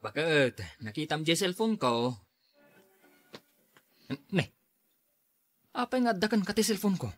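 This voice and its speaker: Irritable husky-voiced Ilocano husband, played by a man. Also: fairly high pitch, big pitch swings, takes it slowly, long pauses, no room sound, faint music behind, full character voice. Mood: defensive, exasperated. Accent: Filipino, Ilocano. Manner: exasperated, dismissive. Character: irritable, defensive husband accused of cheating. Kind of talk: Ilocano radio drama acting.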